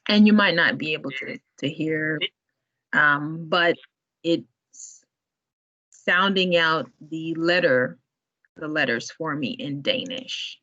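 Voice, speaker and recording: A woman from the library staff demonstrating a language database in an online tutorial, in a relaxed, chatty way. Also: sits low, takes it slowly. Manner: relaxed, chatty, laughs often. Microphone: video call. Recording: muffled online meeting audio.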